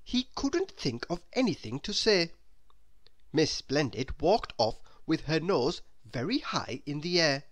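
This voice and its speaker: Expressive, very animated woman storyteller. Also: deep voice. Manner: expressive, deliberate. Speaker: storybook reader. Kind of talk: children's read-aloud narration.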